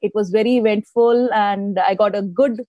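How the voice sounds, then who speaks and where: A woman's voice: medium pitch, steady tone, moderate pace, no room sound, lo-fi sound. A woman from India, a guest speaker telling stories about her life in a live interview, laughing often. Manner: warm, storytelling, laughs often.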